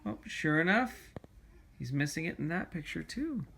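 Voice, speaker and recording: A man reading a storybook aloud as a father to his child, with gentle, conversational questions and asides. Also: a little high, very animated, moderate pace, creaky, a little hiss or hum.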